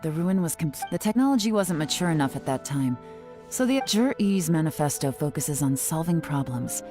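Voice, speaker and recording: Low-voiced, composed lady investigator. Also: very animated, normal speed, dark tone. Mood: cool, composed. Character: shrewd, composed woman investigator, coolly in command. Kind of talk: video game voice acting. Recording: background music under the dialogue.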